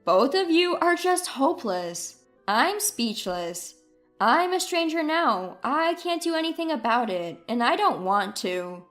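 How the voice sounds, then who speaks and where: A mocking, cutting ex-wife from a dramatized story, acted by a woman narrator. Her voice is high and swoops with indignation. Faint music sits underneath. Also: very animated, measured pace, fairly bright tone, full character voice. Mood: cold, dismissive. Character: scornful, newly independent ex-wife.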